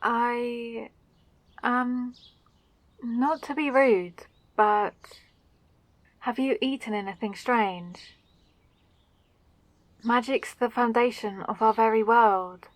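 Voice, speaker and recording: A woman performs a kind, curious female mage for a fantasy audio roleplay. Her voice sits fairly high and is recorded close and dry. She speaks softly and courteously, with a slightly old-fashioned turn of phrase and an unrushed delivery. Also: steady tone, drawn-out words, long pauses, no echo. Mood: puzzled, polite. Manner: polite, soft-spoken, soothing, old-fashioned phrasing. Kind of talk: roleplay audio drama addressed to the listener.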